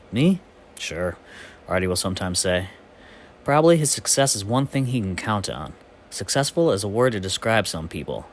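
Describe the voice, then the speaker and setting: A man reading absurd comic literary snippets aloud in a deadpan storytelling manner. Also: fairly low pitch, slight background noise. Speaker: amateur reader.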